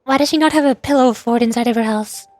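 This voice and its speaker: Very high-pitched woman streamer, playful. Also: fast, darkish tone, no room sound, faint music behind. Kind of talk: playful game stream commentary and character read-aloud.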